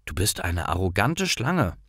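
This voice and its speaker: A man voicing a kind, frank schoolgirl in a learners' storybook, speaking with quiet firmness. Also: low-pitched, very animated, a little slow, no room sound, clean studio sound, exaggerated voice. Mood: quietly defiant. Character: kind, frank new schoolgirl standing up for classmate.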